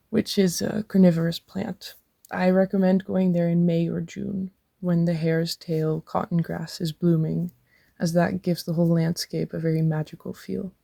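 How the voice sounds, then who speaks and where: A woman giving first-person interview answers in a steady, measured reading. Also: fairly low pitch, creaky, no echo.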